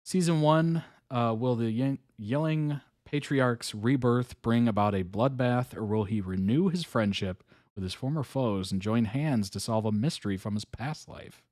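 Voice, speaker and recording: A man hosting a live reaction stream, chatting casually, reading chat messages aloud, with frequent ums and soft chuckles. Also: medium pitch, moderate pace, no echo, clean studio sound. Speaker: host reacting to videos live.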